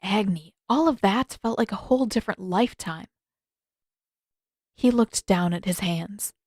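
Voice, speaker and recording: A woman's voice: mid-range voice, a little slow, a little mellow, dry recording, clean studio sound. A woman reading scripted fiction as an audiobook narrator.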